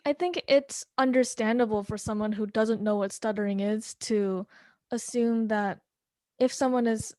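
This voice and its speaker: Higher-voiced woman host, dry sound. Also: fairly even intonation, warm tone, no echo. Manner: stutters, speaks of her own blocks, pauses with "um". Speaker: podcast host. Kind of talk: casual interview conversation.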